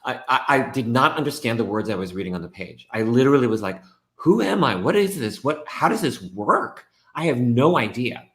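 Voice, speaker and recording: A man, a stage actor and guest, talking through his work in a casual, animated way. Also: medium pitch, a little fast. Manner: animated storytelling, reenacts his own thoughts. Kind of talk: casual conversation. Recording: echoey room.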